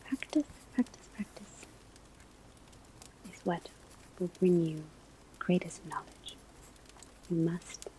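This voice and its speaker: Slow whispering witch-school professor played by a woman. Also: fairly low pitch. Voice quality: soft, breathy whisper. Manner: slow, deliberate, measured, instructive. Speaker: ASMR roleplay performer. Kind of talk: whispered ASMR classroom roleplay lecture. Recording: clear background ambience noise. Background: classroom ambience, crackling fireplace, pages turning.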